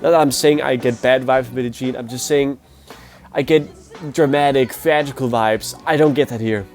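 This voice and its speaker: A man's voice: mid-range voice, steady tone, normal speed, a little mellow, soft background music. A man with a sick, nasal voice giving a blunt, opinionated casual review. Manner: blunt, opinionated, grades with scores. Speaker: music performance reviewer. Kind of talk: casual spoken video review.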